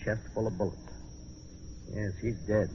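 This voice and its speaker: An actor playing a calm, reassuring husband and amateur detective in 1940s radio style. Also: male voice, fairly low pitch, measured pace, lightly acted. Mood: concerned, grave. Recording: dull, noisy vintage radio recording.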